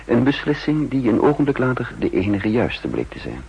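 An actor playing a composed double agent who narrates his own story in a measured, introspective way, in a 1960s radio drama. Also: mid-range voice, wildly swooping pitch, creaky, slightly rough, exaggerated voice. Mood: grave, controlled. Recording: muffled old 1960s radio recording.

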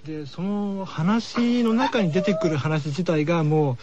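ラジオのゲストとして、丁寧に淡々と体験を語る三十代の男性。. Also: male voice, high voice, measured pace. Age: 30s. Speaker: guest horror manga artist. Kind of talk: casual radio interview, recounting experiences. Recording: dull lo-fi radio recording.

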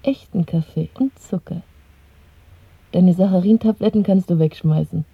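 A woman's voice: fairly low pitch, moderate pace, gravelly, breathy edge, no room sound. An actress playing a bold, worldly young woman in a radio drama, intimate and flippant with her secret lover. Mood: teasing, flippant. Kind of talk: German radio play acting. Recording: dry, tinny narrow-band radio recording.

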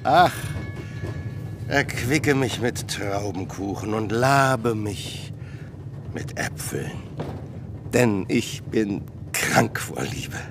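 A man's voice: fairly low pitch, expressive, extremely slow, background noise, full character voice. A man acting an effusive, doting bridegroom in a radio play, speaking in a florid, declamatory purr. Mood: theatrical adoration. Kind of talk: theatrical radio drama acting. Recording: music behind the voice.

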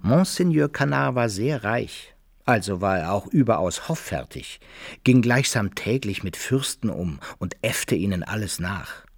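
An aging adventurer telling his own story in a radio play. The voice is gravelly and lively, dryly recorded, with old-fashioned literary wording. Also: lowish voice, a little slow, dry recording, clean studio sound, faint music behind, exaggerated voice. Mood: wry recounting. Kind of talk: literary first-person narration in radio drama.

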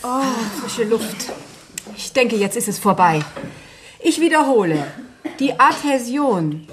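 A stern, fussy schoolmistress in a radio play. Her voice is fairly high and her manner formal and lecturing, easily flustered. The recording has clear background noise. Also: lively intonation, slow. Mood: irritated, puzzled. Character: stern, flustered woman physics teacher with a doctorate. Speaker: physics teacher. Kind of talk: German radio play acting.

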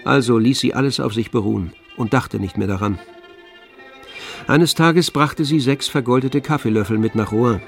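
An actor playing the all-knowing narrator of a literary tragedy in a radio drama, telling it in a measured, expressive way. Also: male voice, medium pitch, gravelly, soft background music, natural voice. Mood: matter-of-fact.

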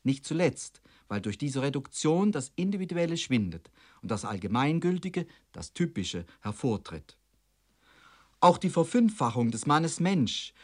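A man reads a scripted radio lecture as a commentator, formal and measured, phrasing long sentences precisely. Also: a little high.

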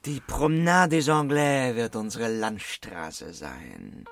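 An actor playing a commanding, nonchalant swindler who poses as a baron in a radio drama. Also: male voice, medium pitch, expressive, takes it slowly, gravelly. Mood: grandiose, nonchalant. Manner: clipped orders, pompous, nonchalant, precise. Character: suave, commanding male con man posing as baron.

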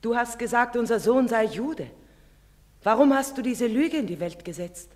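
A proud foreign mother, bitter and accusing. She declaims deliberately in classic stage drama style. The voice rings with the long reverb of a theatre. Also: on the high side, lively intonation, a little mellow. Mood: bitter accusation. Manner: deliberate, declamatory, insistent questioning.

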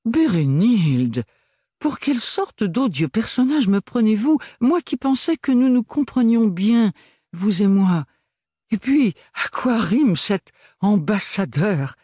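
A man's voice: high-pitched, sing-song, slow pace, mellow, breathy edge, no echo, clean studio sound, voice pushed up, full character voice. A man voicing a smug, ironic male ambassador who talks in an airy, mocking, sugary way.

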